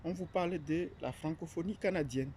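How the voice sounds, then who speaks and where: Man from Cameroon, mellow hesitant voice. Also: fairly high pitch, fairly quick, slight background noise. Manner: hesitant, many fillers and restarts. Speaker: academic presenting his platform. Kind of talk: unscripted monologue.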